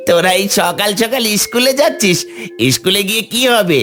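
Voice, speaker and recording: A woman's voice: fairly high pitch, expressive, a little slow, faint music behind. A woman voicing an idle, mischievous older village boy in a cartoon, teasing and cocky. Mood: mocking. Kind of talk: cartoon story dialogue.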